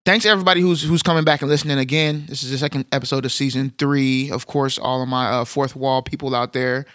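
A man from Texas co-hosting a podcast in casual chat, rambling and joking, his voice a little deeper than usual in the morning. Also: steady tone, fast talker, a bit bright, dry recording, clean studio sound. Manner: laid-back, rambling, joking. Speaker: podcast co-host.

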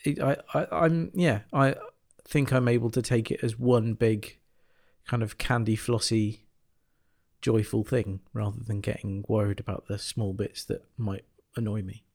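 A man on a discussion panel, talking casually and thoughtfully in a halting, hesitant way. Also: on the low side, fairly even intonation, gravelly, darkish tone. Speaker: podcast panellist.

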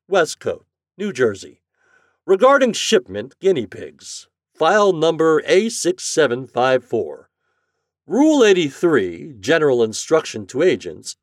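A self-important office boss played by a male narrator from a comic storybook, officious and emphatic. He speaks in a raised pitch with big swings, as if dictating, in a dry close sound. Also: no room sound. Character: brisk, self-important male railway tariff department boss.

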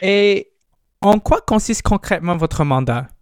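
High, smooth-voiced male radio host. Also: lively intonation, measured pace, no room sound, clean studio sound. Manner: scripted, polite, formal questions. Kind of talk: radio interview.

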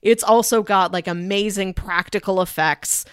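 A woman's voice: medium pitch, fairly even intonation, normal speed, clean tone, no room sound. Woman podcaster, close dry sound. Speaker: podcast co-host. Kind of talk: casual film review chat.

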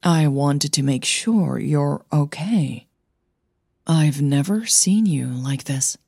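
Woman voicing low, husky, composed female coach. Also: animated, no room sound, clean studio sound, full character voice. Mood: caring, concerned. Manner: slow, reassuring, gently teasing. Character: Christine, composed, caring, probing female singing coach.

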